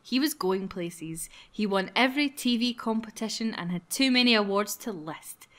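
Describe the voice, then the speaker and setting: A woman's voice: mid-range voice, lively intonation, normal speed, a bit bright. A woman hosting a casual group let's play, chatting and reading game text aloud in a playful, giggly way. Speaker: gaming channel host.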